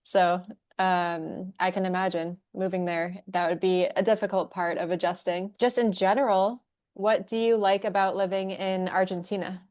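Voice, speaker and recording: A woman's voice: mid-range voice, fairly even intonation, normal speed, darkish tone. A woman hosting an English learning podcast, asking friendly, curious questions in casual chat. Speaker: podcast host, English teacher.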